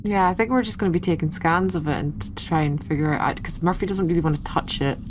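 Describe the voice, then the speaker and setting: A woman's voice: on the low side, quick pace, noisy background. A woman chatting casually as a player at a tabletop game.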